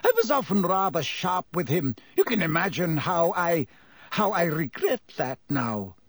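A man's voice: sits high, huge pitch swings, measured pace, vocal fry, slightly rough, no room sound, pitched above the performer's own voice, full character voice. A man voicing a stern, proper older schoolmistress in an audiobook, strict and clipped, holding back feeling. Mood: regretful.

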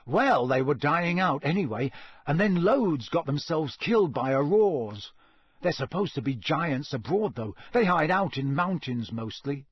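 Man doing a flustered teenage boy, pitched up. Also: big pitch swings, a little slow, slightly rough, dry recording, full character voice. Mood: grave, hesitant. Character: blunt, easily flustered teenage boy, loyal sidekick.

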